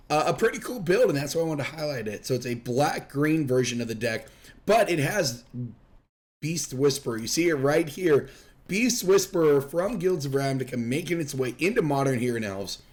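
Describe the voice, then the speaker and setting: A young man hosting casual gameplay commentary while losing his voice from being sick, chatty and self-deprecating. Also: fairly high pitch. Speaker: host.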